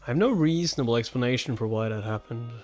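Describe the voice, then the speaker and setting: A man's voice: mid-range voice, quick pace, darkish tone. A man doing a live read-along, switching between narrating a comic and giving incredulous casual asides. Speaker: reaction host reading a webcomic aloud. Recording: music behind the voice. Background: background music.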